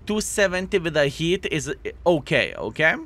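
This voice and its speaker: A man's voice: fairly high pitch, big pitch swings, normal speed, fairly bright tone, a little hiss or hum. A man with a Lithuanian accent, hosting a video and chatting casually through a game, often calling his viewers "fellas". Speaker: gaming video host. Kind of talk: casual gameplay commentary.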